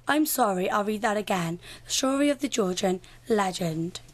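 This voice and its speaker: A woman's voice: medium pitch, animated, normal speed, noisy background, lightly acted. A young woman playing a formal radio continuity announcer in a comic radio play.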